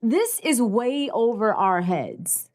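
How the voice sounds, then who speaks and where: A woman's voice: a little high, very animated, takes it slowly, darkish tone. A woman playing a brave, principled teenage girl hero, earnest and decisive, in an audio drama. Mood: worried.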